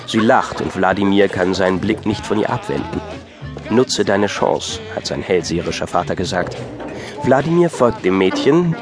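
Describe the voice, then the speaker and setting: A man narrating an audio drama from a script in a dryly wry storytelling manner. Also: on the low side. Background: music.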